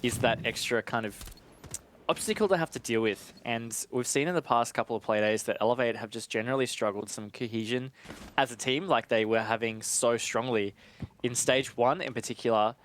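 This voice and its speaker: A man's voice: medium pitch, a little fast, fairly bright tone. A man giving conversational live analysis as an esports caster, in an informal way with casual asides.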